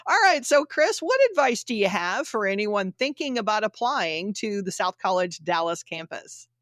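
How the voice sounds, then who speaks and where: A woman hosting a casual interview, upbeat and quick to laugh. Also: medium pitch, animated, fairly bright tone, no echo, clean studio sound. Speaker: host.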